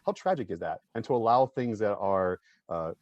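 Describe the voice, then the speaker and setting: A man's voice: fairly low pitch, animated, normal speed, highs cut off, faint music behind. A man speaking earnestly and emphatically in a panel discussion, often checking his points with a tag question.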